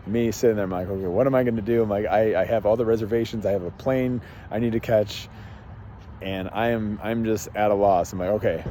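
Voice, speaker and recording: A man's voice: on the low side, fairly even intonation, brisk, dark tone, slight background noise. A man hosting a podcast, telling a long personal story in a rambling, casual way with many fillers and restarts. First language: English. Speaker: podcast host and life coach.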